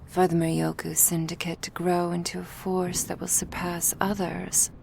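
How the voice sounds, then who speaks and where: A woman playing an imperious female vampire crime boss in an ASMR roleplay, with slow, formal, silky, threatening speech. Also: fairly low pitch, flat delivery, creaky, darkish tone, slight background noise. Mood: soft menace.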